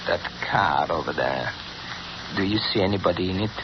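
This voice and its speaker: A man's voice: low-pitched, animated, normal speed, dull audio. An actor playing a formal, nervous French henchman in 1930s radio drama style, on a noisy old radio recording. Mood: wary. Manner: formal, careful speech.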